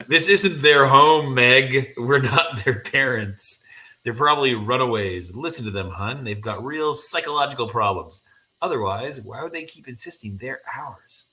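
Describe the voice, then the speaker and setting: A man's voice: medium pitch, pushed and bright. A man voicing a level-headed, doubtful husband from a comic in a matter-of-fact way.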